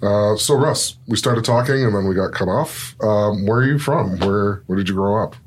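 A man hosting a podcast and interviewing a guest, who asks short questions in a relaxed way. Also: lowish voice, moderate pace, a little hiss or hum. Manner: relaxed, brief prompting questions. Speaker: podcast host. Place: podcast studio.

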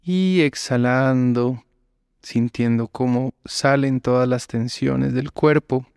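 Slow, smooth-voiced man guiding relaxation. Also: mid-range voice, no room sound, studio quality. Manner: calm, measured instructions with long pauses. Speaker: yoga nidra instructor. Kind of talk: slow guided relaxation meditation.

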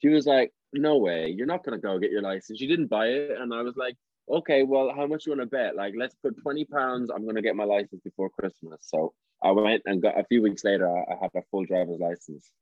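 A man's voice: fairly low pitch, brisk, no echo. Young irish man, actor, chatty, restarts his sentences, dull dry video-call sound. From Ireland. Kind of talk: casual interview over video call.

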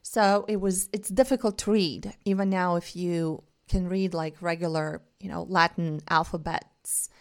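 A woman's voice: on the low side, steady tone, measured pace, few pauses. Earnest woman. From Bulgaria. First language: Bulgarian. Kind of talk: reflective monologue.

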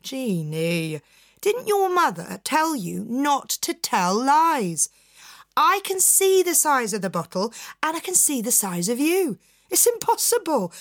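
A woman's voice: high voice, sing-song, slow pace, no room sound, clean studio sound, pitched above the performer's own voice, full character voice. A woman voicing a cheeky fisherman who teases and taunts in mock disbelief. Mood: teasing. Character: cheeky, scheming fisherman, a man.

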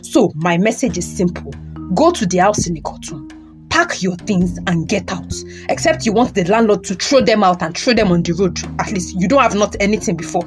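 A man's voice: high-pitched, animated, fairly quick. A man plays a cold Nigerian husband in an audio drama. He is blunt and contemptuous, handing out commands and repeating them. Faint music sits behind the voice. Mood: cold, stern. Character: cold, contemptuous husband ordering his wife out.

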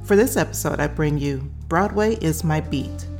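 A woman's voice: low-pitched, moderate pace, creaky. A woman giving a scripted introduction as host of a podcast. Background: prominent music.

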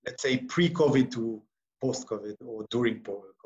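A man hosting a podcast, with a voice of middling pitch. He opens the show in a scripted way, then asks his guest questions hesitantly, full of ums and restarts. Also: moderate pace, a little mellow, lo-fi sound. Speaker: podcast host. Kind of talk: interview hosting.

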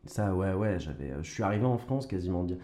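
A man's voice: low voice, fast. A young man from Canada, an actor, telling his story casually with slang and frequent restarts. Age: young adult. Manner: relaxed, slangy, stumbling restarts. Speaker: actor and guest. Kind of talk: casual conversation.

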